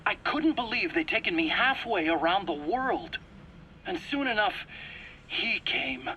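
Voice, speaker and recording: A man's voice: sits high, sing-song, unhurried, sharp tone, highs cut off, a little hiss or hum, full character voice. An actor playing an anxious, self-pitying male scientist in a video game cutscene, pleading and stammering indignantly in his own defense. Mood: nervous recounting. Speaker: accused scientist under interrogation.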